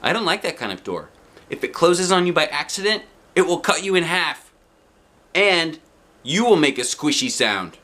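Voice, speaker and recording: Guy doing a little kindergarten girl in a kids book, blunt n nervous, bright, lively swings. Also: on the high side, normal speed, voice pushed up. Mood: matter-of-fact, opinionated. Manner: childlike grammar, blunt and emphatic. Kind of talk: expressive children's storybook reading.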